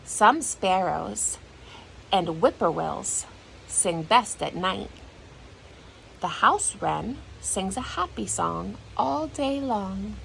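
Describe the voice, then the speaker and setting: A woman reading a children's storybook aloud like a teacher, in an expressive, deliberate storytelling manner. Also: mid-range voice, creaky, slight background noise, poor audio.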